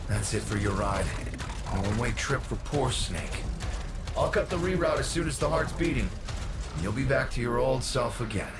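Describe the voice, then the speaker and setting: An actor plays a cocky upstart son in a video game, resentful and scheming. He talks informally, with dramatic swings in pitch and a swagger. Music sits clearly behind him. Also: expressive, normal speed, lightly acted. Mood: brisk. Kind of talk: video game character acting.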